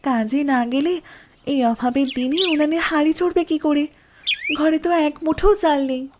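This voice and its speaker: An actress playing a patient, wronged young village wife in a cartoon, earnest and plaintive. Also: female voice, high voice, a little slow, background noise. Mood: hurt, reproachful. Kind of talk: Bengali cartoon dialogue.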